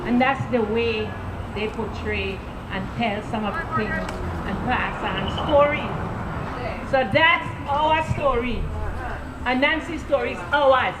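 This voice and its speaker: A woman's voice: a little high, slow. An older woman with a Caribbean accent telling folk tales conversationally to a gathering, checking in with short questions. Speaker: storyteller. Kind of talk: oral folk storytelling and informal talk to a gathering. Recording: echoey room with clear background noise.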